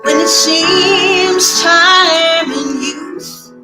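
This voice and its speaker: A woman sings in a high voice with very slow, gentle phrasing. Her inspirational song is carried by loud piano accompaniment. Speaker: guest musician, singer.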